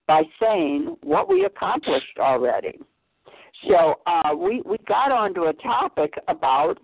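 A woman's voice: lowish voice, creaky, no echo. A woman hosting a call-in radio chat, effusive and rambling, with many restarts. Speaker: host. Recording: tinny phone line, dry.

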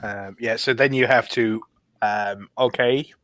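A man chatting casually on a podcast panel, recounting gameplay in a rambling, halting way. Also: medium pitch, steady tone, fairly bright tone, no room sound.

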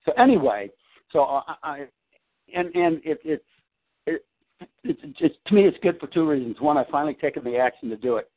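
A man's voice: on the high side, lively intonation, a little fast. Man rambling over a tinny phone line. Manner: rambling with many restarts and fillers. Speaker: client on a coaching call. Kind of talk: casual phone conversation. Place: at home.

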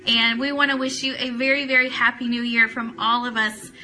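A woman's voice: a little high, level tone, fairly quick, pushed and bright, poor audio. A woman hosting an online fan radio show, reading a prepared account aloud and greeting viewers.

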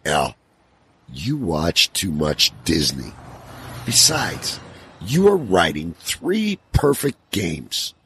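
A man's voice: low voice, huge pitch swings, very slow, a bit bright, slight background noise, full character voice. A man playing a scheming, hesitant buddy who cajoles his friend in a comedic audio drama. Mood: pleading.

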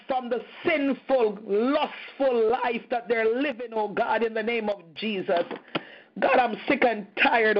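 Woman prayer leader, fervent and impassioned, fairly high voice, muffled call audio. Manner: impassioned, repeats "oh God" between phrases. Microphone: phone or conference call.

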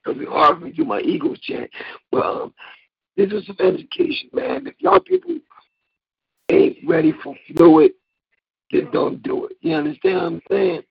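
Hoarse raspy dude calling into the radio show, voice all over the place, kinda muffled. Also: male voice, normal speed. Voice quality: raspy, gravelly. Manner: animated, preachy, swooping pitch. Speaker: caller and fellow radio host. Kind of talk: call-in radio chat. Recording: dull lo-fi call-in line.

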